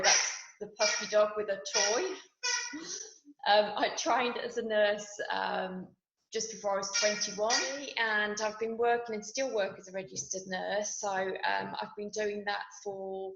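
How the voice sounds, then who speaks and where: A woman's voice: mid-range voice, a bit bright. A woman in her forties with a West Midlands accent, chatting casually as an interview guest, with many ums and restarts. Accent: West Midlands English. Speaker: guest, nurse and skincare founder. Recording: echoey room, dull lo-fi sound.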